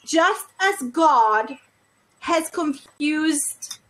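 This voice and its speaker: A middle-aged woman, hosting a religious video chat and explaining her faith in an earnest, deliberate way. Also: high-pitched, expressive, a little hiss or hum, low-quality recording. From Hungary. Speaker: host. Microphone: earbuds.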